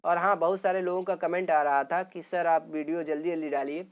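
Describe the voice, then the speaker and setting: A man's voice: fairly high pitch, fairly even intonation, brisk. Man teaching in a repetitive explanatory way. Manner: repetitive explaining with frequent check-in tags. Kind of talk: informal technical video lecture.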